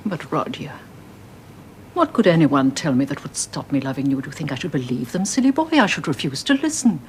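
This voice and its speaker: A fretful old mother in a radio play, chattering on and choking up as she fusses over her son. Her voice sits low for a woman but swings widely in pitch, with a faint hiss behind it. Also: sing-song, a little fast. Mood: doting. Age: older. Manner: fussing, rambling, tearful. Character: tearful doting elderly mother. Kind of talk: radio drama acting.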